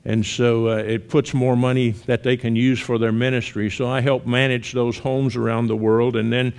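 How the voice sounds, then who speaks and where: Older Southern male missionary, sixties, relaxed conversational church talk with light humor. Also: medium pitch, moderate pace, smooth. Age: 60s. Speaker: missionary guest speaker.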